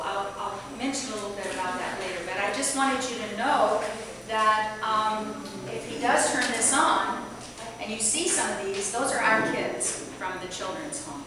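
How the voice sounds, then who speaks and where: A middle-aged woman giving a rambling, chatty guest talk, projecting her voice loudly to a club meeting. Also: mid-range voice. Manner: loud, projecting voice; chatty, rambling, self-deprecating. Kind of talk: informal speech to a club meeting. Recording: echoey hall from far off, audience.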